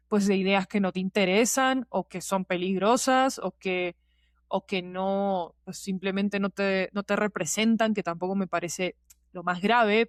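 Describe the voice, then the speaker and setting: A woman's voice: mid-range voice, no echo, studio quality. Candid woman. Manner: candid, colloquial, often checks the listener understands. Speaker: podcast guest, fiction podcast producer. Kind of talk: reflective conversational interview answer.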